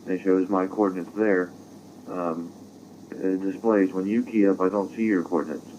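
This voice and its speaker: An American man explaining things in a measured, casual way as an amateur radio operator, over a digital radio transmission. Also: deep voice, fairly even intonation, darkish tone, lo-fi sound. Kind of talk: casual technical radio exchange. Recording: faintly noisy digital radio audio.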